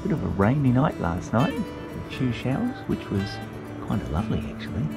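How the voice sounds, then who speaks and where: A man's voice: medium pitch, huge pitch swings, normal speed, over music. A man in his fifties with an Australian accent, chatting casually to his camera while on a road trip. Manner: easygoing, chatty, self-mocking. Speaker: travel vlogger. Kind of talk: casual travel vlog narration. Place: outdoors on a beach and inside a car. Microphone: action camera held at arm's length.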